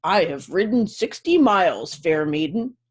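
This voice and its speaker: A woman's voice: fairly low pitch, sing-song, unhurried, breathy edge, heavily acted. A woman voicing a courteous male knight from a storybook, with gallant, formal speech. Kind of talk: storybook character voice.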